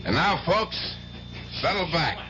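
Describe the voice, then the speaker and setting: A man's voice: fairly high pitch, very animated, takes it slowly. An actor playing an older, hearty, folksy nightclub owner and showman in a vintage radio drama. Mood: hearty announcing. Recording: noisy, dull vintage radio recording.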